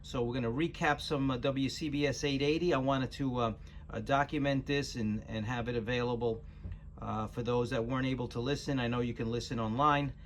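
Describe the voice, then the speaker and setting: An American man speaks casually as he introduces a recording, stopping and starting hesitantly. His voice sits at an average pitch, and there is noise around it. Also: fairly even intonation. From New York City area, US. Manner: hesitant, halting. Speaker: channel host introducing a recording.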